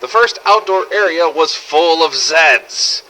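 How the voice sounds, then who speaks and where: Man acting brash, sharp-voiced zombie apocalypse survivor. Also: a little high, lively intonation, slow, a little hiss or hum, exaggerated voice, rough recording. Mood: matter-of-fact recounting. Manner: blunt, sardonic, swearing, conversational storytelling. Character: brash, foul-mouthed adult male survivor in zombie apocalypse. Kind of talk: scripted first-person audio log narration.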